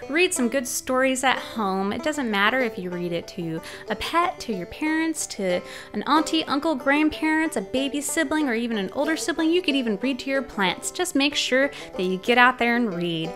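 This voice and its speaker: A young woman librarian reading a picture book aloud to children, sounding out letters playfully in a slow, animated storytime style. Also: high-pitched, over music. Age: young adult. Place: small home room, indoors. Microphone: large studio mic on arm in front of her.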